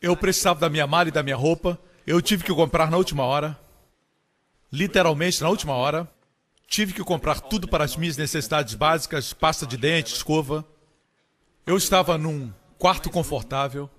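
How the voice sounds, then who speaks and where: A man preaching a sermon with emphatic delivery, climbing high in pitch on key phrases. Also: normal speed, fairly bright tone, long reverb tail. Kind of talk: Portuguese-dubbed sermon.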